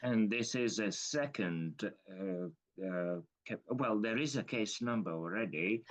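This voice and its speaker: A man's voice: fairly low pitch, measured pace. A man acting as co-host, offering unscripted interjections and groping for words. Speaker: co-host, helper.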